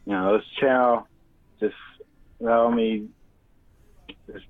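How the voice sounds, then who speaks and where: A man's voice: medium pitch, sing-song, takes it slowly, creaky, no room sound. A man calling in to a show for a casual chat over a thin phone connection. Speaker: caller. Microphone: phone call. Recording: thin, narrow phone line.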